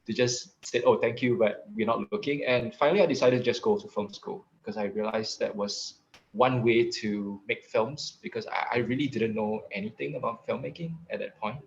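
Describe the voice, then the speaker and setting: A man's voice: mid-range voice, steady tone, normal speed, gravelly, highs cut off, slight background noise. A man film director on a panel, speaking earnestly and hesitantly, with many uhs. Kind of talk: casual panel talk.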